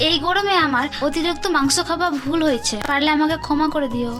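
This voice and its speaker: A woman's voice: high voice, fast talker, natural voice. A woman voicing a stubborn, whiny, food-obsessed college-age daughter in a cartoon. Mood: apologetic. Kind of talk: animated moral-tale cartoon dialogue. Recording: music behind the voice.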